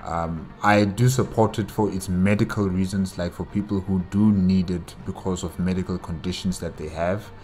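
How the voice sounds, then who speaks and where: A man giving casual solo reaction commentary as host, halting as he searches for words. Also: sits low, fairly even intonation, darkish tone, faint music behind.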